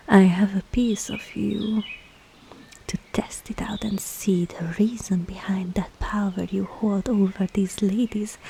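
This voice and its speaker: A seductive, scheming witch, acted by a woman, whispers in an ASMR roleplay with a soft, dark tone and a crackly edge. She lingers teasingly over her words and sounds falsely reassuring. Faint forest noise and birds sit behind her. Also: medium pitch. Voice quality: breathy, mostly whispered.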